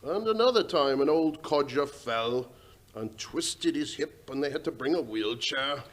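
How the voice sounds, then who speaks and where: A man's voice: mid-range voice, animated, unhurried, lightly acted. A man playing a deferential, worried working-class English railway station master in an old-time radio drama, speaking hesitantly and respectfully in a folksy way. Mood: puzzled, earnest.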